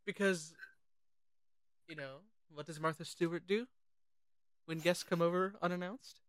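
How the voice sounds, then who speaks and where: A podcast co-host talking casually and informally about films, animated and sweary. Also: mid-range voice, measured pace, no room sound. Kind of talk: casual film discussion.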